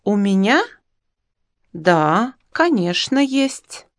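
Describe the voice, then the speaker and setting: Luda, a friendly young student in a course dialogue. She speaks at a very slow, clear pace in a mid-range voice. The sound is dry and close. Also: mid-range voice, no echo. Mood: plain. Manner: clearly enunciated, even. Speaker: dialogue reader in a language course.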